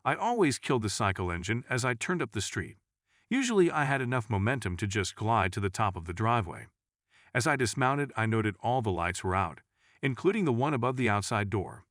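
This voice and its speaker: Low-pitched man narrating, lively intonation. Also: brisk, dry recording, clean studio sound. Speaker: narrator of scary stories. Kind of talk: scripted first-person horror story narration.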